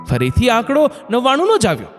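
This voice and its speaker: A man narrating a scripted moral story in an expressive, dramatic way. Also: extremely high pitch, fast. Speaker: narrator of motivational stories. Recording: long hall reverb, music bed. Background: background music.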